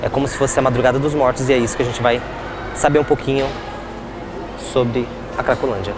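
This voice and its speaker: Man, TV reporter, earnest, explanatory, mid-range voice, loud traffic behind. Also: medium pitch, steady tone, a little fast. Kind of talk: on-location street report and interview. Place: outdoors on a city street. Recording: loud street traffic noise. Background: traffic noise.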